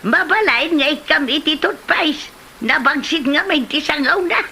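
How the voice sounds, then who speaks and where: A woman's voice: high-pitched, animated, unhurried, pushed and bright, heavily acted. A woman with an Ilocano accent playing a nagging, complaining, blunt village auntie in a comedy drama, full of exclamations. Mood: teasing, nagging.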